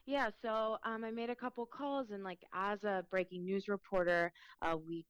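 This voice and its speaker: A woman's voice: medium pitch, steady tone, normal speed, clear, resonant tone, dry recording. A young woman reporter from Mexico with a Mexican accent, chatting casually in an interview and filling her speech with ums and likes. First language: Spanish.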